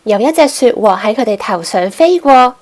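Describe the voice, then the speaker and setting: A woman tells a scripted story for young children. Her voice is very high, and she reads it as a storyteller for little listeners. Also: expressive, normal speed, darkish tone.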